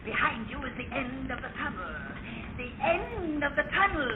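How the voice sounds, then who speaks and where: An actor playing an unhinged, gloating murderer in an old-time radio thriller, shrill and repetitive. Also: male voice, lively intonation, normal speed, reverberant, a loud crowd. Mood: menacing glee. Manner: gloating, repetitive, maniacal laughter. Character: crazed gloating male murderer cornering his enemy, unhinged. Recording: very noisy lo-fi old radio recording.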